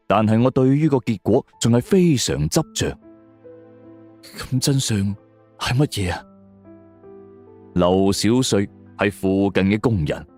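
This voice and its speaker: A man's voice: lowish voice, a little mellow. A man narrates a scripted crime and ghost story in a suspenseful, theatrical way. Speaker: audio drama narrator. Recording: music bed under the voice. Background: background music.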